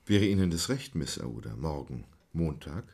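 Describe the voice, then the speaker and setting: Stoic English gentleman for radio play: very deep voice, restrained manner, expressive intonation. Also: vocal fry, slightly rough, exaggerated voice. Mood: quietly hopeful. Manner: formal, restrained, measured. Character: reserved, dignified English gentleman, stoic in ruin. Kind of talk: German audio drama acting.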